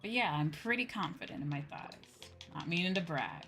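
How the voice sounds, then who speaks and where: Low-pitched woman chatting casually over game music. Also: animated, moderate pace, a bit bright. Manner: informal, rambling, slangy, mildly profane. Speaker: livestreamer, VTuber host. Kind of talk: casual chat while playing a game, answering viewers. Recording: music playing behind the voice.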